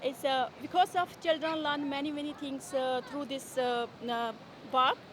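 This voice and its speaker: Woman, high voice, hesitant with fillers, beach clean volunteer, noisy outdoors. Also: fairly even intonation, moderate pace.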